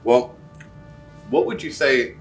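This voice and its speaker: A man's voice: medium pitch, slow, recorded from a distance, soft background music. A man hosting a casual interview, who asks friendly questions and jokes around. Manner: friendly, appreciative, joking. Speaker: interview host.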